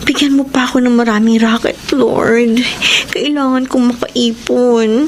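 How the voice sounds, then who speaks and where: An earnest, dutiful younger sister acted by a woman in a radio drama. Her voice is high and pleading, like someone struggling but hopeful, with clear noise in the background. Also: steady tone, unhurried, darkish tone, natural voice. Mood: pleading, prayerful.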